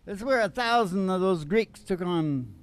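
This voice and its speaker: Folksy guy rambling on a radio show, kinda high voice, irreverent banter. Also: expressive, a little slow. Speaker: singing radio show host.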